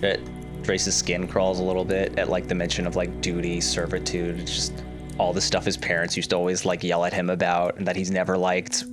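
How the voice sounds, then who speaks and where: A man playing a tabletop game, casually and haltingly narrating what his character does. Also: sits low, monotone, music in the background.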